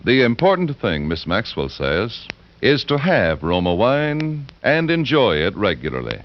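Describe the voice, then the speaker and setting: Male sponsor announcer, 1940s radio, emphatic and lively sales read, slightly dull vintage sound. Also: on the low side, measured pace, slight background noise. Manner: polished, emphatic salesman delivery. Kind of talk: scripted 1940s radio advertising.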